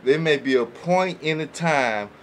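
A man's voice: a little high, animated, unhurried, a little hiss or hum. A man talking casually and colloquially in a podcast panel, often checking that listeners follow. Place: small indoor podcast room. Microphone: boom-arm studio microphone.

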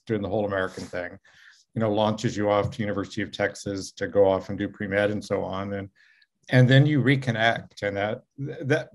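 An older man with a fairly low voice hosting an interview from his living room over a video call. He speaks in a measured, reflective way, setting up questions with care. A webcam microphone picks him up. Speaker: interview host.

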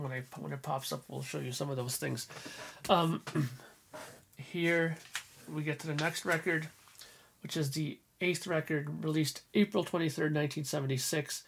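A man's hoarse, gravelly voice. He chats enthusiastically in a small home room, with a faint hiss under the sound. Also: fairly high pitch. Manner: enthusiastic, frequent throat clearing. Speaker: record collector vlogger. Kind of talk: casual record collection walkthrough. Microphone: headphones on, webcam or desk mic.

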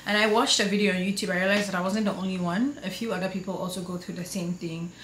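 A woman's voice: medium pitch, fairly even intonation, fast talker, fairly bright tone, reverberant, low-quality recording. A woman in her twenties chatting upbeat to her viewers in a casual vlog, filmed on a camera at arm's length at home. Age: 20s. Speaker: vlogger. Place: bedroom and home office, indoors. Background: air conditioner hum.

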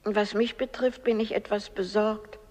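An actress playing a kindly, humble old woman in a radio play, speaking in a gentle, patient, measured way. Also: mid-range voice, flat delivery, close to an everyday voice. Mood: resigned, worried. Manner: gentle, measured, plain-spoken. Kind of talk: German radio play acting. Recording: long reverberant hall.

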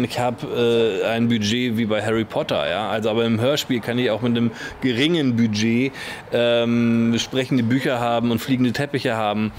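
A man's voice: mid-range voice, a little hiss or hum. A man in his thirties giving informal interview answers, searching for words as he goes. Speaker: project leader. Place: indoor rehearsal hall. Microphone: handheld interview microphone with windscreen.

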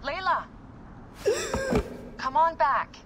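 Bossy sardonic medic, slightly high voice, humming noise behind. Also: female voice, very animated, drawn-out words, dull audio, exaggerated voice. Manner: dry, bossy. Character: brisk female medical officer, sardonic.

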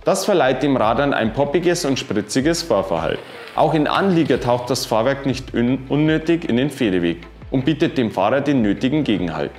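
A man in his thirties giving a scripted bike review, reading specifications in an even, informative way. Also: medium pitch. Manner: even, informative delivery dense with specs. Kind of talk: scripted product review narration. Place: wooden-walled workshop room, indoors. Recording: roomy sound with faint music.

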